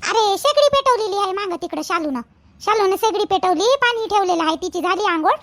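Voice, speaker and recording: A woman's voice: very high-pitched, expressive, a little fast. A woman voicing a busy, fussing village mother in an amateur cartoon, chatty and repetitive, with a Vidarbha Marathi accent. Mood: eager, caring. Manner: chatty, repeats herself.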